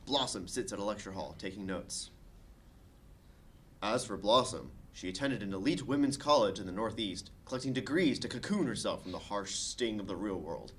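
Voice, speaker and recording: A man narrating the stage directions of a comedy screenplay at a table read, with expressive delivery. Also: lowish voice, moderate pace, slight background noise.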